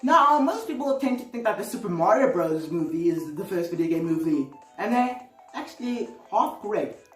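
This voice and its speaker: A woman's voice: medium pitch, lively intonation, normal speed, far from the mic. Female video host, rambling and exclamatory, recorded off-mic in an echoey room with music underneath. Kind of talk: casual opinionated video commentary.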